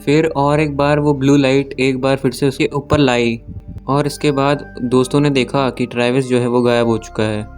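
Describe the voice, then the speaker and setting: A man telling stories in an informal narration, with halting phrasing as he corrects himself. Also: medium pitch, fairly even intonation, darkish tone. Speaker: YouTube narrator. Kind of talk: informal storytelling narration of alien abduction cases. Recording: music behind the voice. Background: background music.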